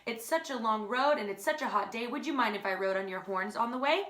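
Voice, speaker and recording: A woman reading aloud does a cheery little storybook bug, breezy and easygoing. The voice is bright and fairly high, in a room with a lively echo. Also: fairly quick, natural voice.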